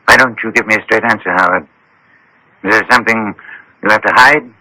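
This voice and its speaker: An actor playing a genial, persuasive physician who speaks in an inquisitive, deliberate way in radio drama style. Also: male voice, lowish voice, sharp tone, no echo, poor audio. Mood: probing. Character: probing, persuasive male physician, genial and measured. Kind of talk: 1980s radio drama acting. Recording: old radio drama broadcast.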